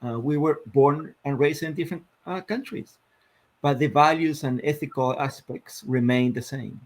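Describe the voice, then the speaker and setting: A man in his fifties from Argentina with an Argentine Spanish accent, a guest telling his life story in a reflective, measured way with many fillers. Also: on the high side. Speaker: guest, sports psychologist. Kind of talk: interview storytelling.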